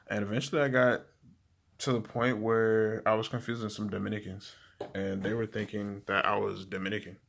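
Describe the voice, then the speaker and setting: A young man giving casual language-learning tips to camera, talking in a relaxed, rambling way with many fillers and restarts. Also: fairly low pitch, gravelly. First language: English.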